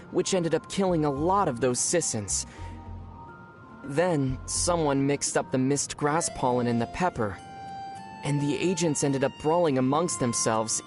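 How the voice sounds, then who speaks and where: A man's voice: on the high side, darkish tone, lightly acted. A man voicing a young, curious, mild traveler from another world in a video game, musing and understated, with music behind. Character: young male otherworldly traveler, curious, mild, dryly puzzled. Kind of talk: video game character dialogue.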